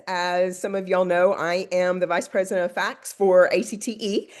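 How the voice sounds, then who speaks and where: Stuffed-up woman hosting a call. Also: medium pitch. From Oklahoma. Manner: hesitant, many ums. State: stuffed-up nose. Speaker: call host. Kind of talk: casual video call.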